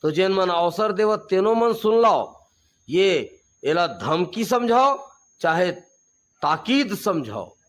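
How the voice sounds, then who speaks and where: Fiery Chhattisgarhi-accented man, high voice. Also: sing-song, takes it slowly, a bit bright. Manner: fiery, emphatic, repeats slogans. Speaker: regional activist interviewee. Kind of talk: interview answers.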